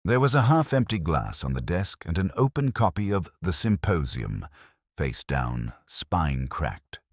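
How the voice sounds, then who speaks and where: Man acting melancholy detective narrator, very low voice, expressive rise and fall, measured, literary, scripted radio mystery. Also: no echo, studio quality, full character voice. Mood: wistful, reflective. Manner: measured, literary, brooding delivery.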